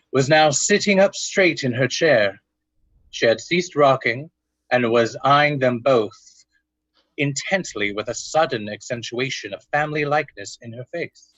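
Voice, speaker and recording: An actor narrating an eerie period tale in the style of an old-time radio drama. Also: male voice, mid-range voice, expressive, unhurried, fairly bright tone, dry recording, muffled recording. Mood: measured suspense.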